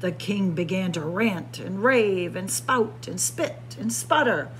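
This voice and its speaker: A woman reading a picture book aloud as a storyteller, in a theatrical, deliberate way. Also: medium pitch, animated, a little hiss or hum, low-quality recording. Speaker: library storyteller. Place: indoor library room with bookshelves.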